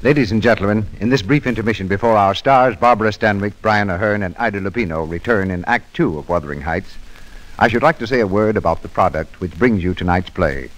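Deep-voiced 1940s radio host. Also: male voice, poor audio. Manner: measured, formal. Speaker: radio show host and sponsor's spokesman. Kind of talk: 1940s radio sponsor announcement, scripted.

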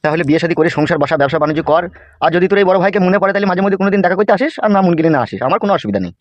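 A man's voice: sits high, animated, racing, no room sound. A man playing a bossy, scheming, foul-mouthed gangster politician in a comedy cartoon. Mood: falsely kind. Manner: bossy, slangy, mock-sweet when coaxing.

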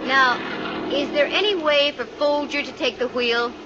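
An actress playing a shrewd, gutsy private investigator with an American accent and dry, brisk delivery in a 1950s radio drama. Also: female voice, sits high. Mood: accusing. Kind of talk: 1950s radio detective acting. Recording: muffled, noisy 1950 radio recording.

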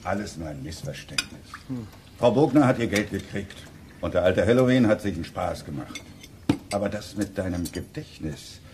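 Deep-voiced, folksy village uncle. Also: male voice, lively intonation, moderate pace, background noise. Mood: gossipy, relaxed. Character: easygoing adult village uncle. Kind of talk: children's radio play dialogue.

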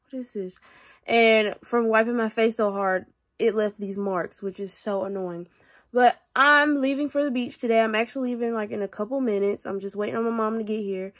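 Young woman, stuffy nose, rambling vlog chat, higher voice, phone held low. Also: a bit bright. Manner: chatty, rambling, quick asides. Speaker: vlogger. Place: bedroom at home. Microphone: phone held low at arm's length.

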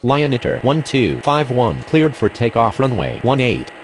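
A gravelly, lively-pitched airline radio man, performed by a man. He gives matter-of-fact, clipped game calls over faint noise. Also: measured pace. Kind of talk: clipped aviation radio phraseology. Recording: faint vehicle and ambient noise.

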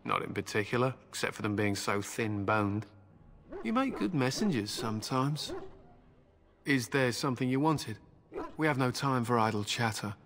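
Curt, gruff fantasy warrior. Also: male voice, medium pitch, expressive, moderate pace, fairly bright tone. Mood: impatient. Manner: curt, brusque. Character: gruff, dismissive male warrior, impatient with elves. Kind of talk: scripted fantasy game dialogue.

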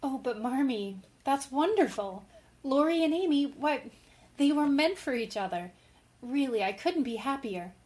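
Woman acting young woman writer, earnest, impulsive, high voice, old-time radio drama. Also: lively intonation, a little slow, darkish tone, faint music behind. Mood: bright, gushing.

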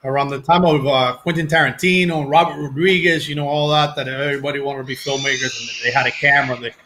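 A man in his forties with a Cuban accent, telling stories about his acting career in a casual video-call interview, animated and often restarting his sentences. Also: medium pitch, quick pace, a little hiss or hum. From Cuban family. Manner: animated, acts out quoted voices, restarts sentences. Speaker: actor, interview guest. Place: home room, video call. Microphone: headset.

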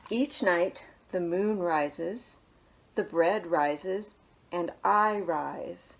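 A woman in her thirties reads a picture book aloud in a small living room, recorded on a camera in front of her. Her voice sits a little low and she takes her time, gentle, with hushed asides. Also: drawn-out words, creaky, rough recording. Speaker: children's librarian.

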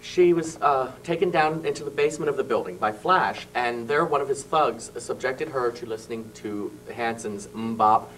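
A young man talking as a guest on a small local TV studio show, halting with many fillers and small laughs, into a handheld mic. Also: mid-range voice, fairly bright tone, distant mic, faint music behind, low-quality recording. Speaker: cast member guest. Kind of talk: casual studio chat. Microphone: handheld mic held by host.

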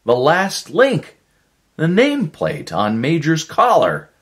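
A man's voice: a little high, wildly swooping pitch, takes it slowly, fairly bright tone, pitched above the performer's own voice, heavily acted. A man voicing a frustrated druggist in a sci-fi story, by turns muttering and exclaiming. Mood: frantic excitement. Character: frustrated male druggist Dave Miller, weary then frantic.

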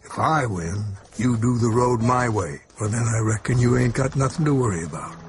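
Man doing a gruff old race car, wry, faint background noise. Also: mid-range voice, lively intonation, normal speed, natural voice. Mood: dry.

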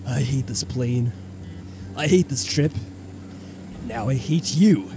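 A man's voice: medium pitch, lively intonation, slow pace, noisy background, pitched below the performer's own voice. Man doing a sullen, grumbling young guy. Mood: sick, bitter. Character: sullen, nauseous young man, bitter and moody.

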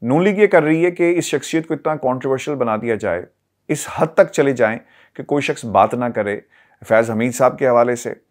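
A man in his forties with a Pakistani accent, delivering earnest, deliberate political commentary to camera with rhetorical emphasis. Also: mid-range voice. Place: small indoor studio, seated. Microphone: lapel mic.

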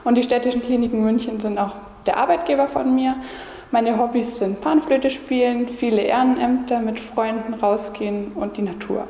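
A young woman from Bavaria, a nursing student, introducing herself quickly and a little haltingly. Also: on the high side, steady tone, mellow, roomy sound, big-room sound. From Bavaria, Germany. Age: young adult. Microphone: handheld mic.

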